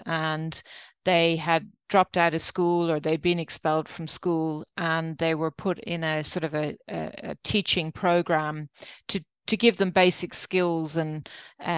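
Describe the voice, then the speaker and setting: Smooth-voiced Irish woman, dry close sound. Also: lowish voice, dry recording. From Ireland. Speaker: guest, university professor and researcher. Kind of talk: reflective interview answer.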